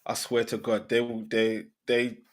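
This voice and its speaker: A man on a football podcast panel, bantering in a slangy, incredulous, animated way. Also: mid-range voice, unhurried. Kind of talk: casual banter.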